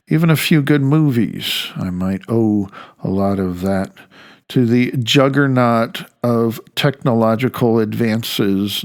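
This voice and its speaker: A man in his fifties narrates a reflective essay as the author of the book. His voice sits at an average pitch with a heavy gravelly fry. He phrases things wryly, leaning on key words for emphasis. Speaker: author reading own audiobook.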